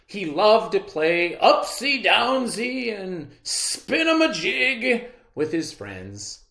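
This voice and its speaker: A read-aloud storyteller narrating a children's book with dramatic, expressive emphasis. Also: medium pitch, slow pace, creaky, echoey room.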